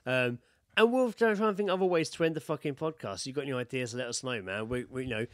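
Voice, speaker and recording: A man's voice: mid-range voice, fast talker, a bit bright, dry recording, studio quality. A British man hosting a podcast in casual, chatty, sweary banter. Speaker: podcast host.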